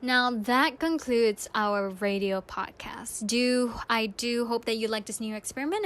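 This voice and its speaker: Young woman, high-pitched voice, podcast host, scripted radio-style delivery.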